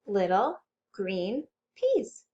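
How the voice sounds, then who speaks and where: A woman hosting a children's storytime, reading aloud and chanting rhymes in an animated, exaggerated way for young children. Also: medium pitch, very slow, lo-fi sound, slight background noise. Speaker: children's librarian storytime host.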